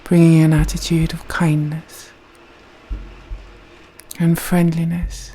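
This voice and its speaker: A woman gently leading a guided meditation in a soft, breathy voice, speaking slowly and soothingly with long pauses. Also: low-pitched, completely flat delivery. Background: soft meditation music.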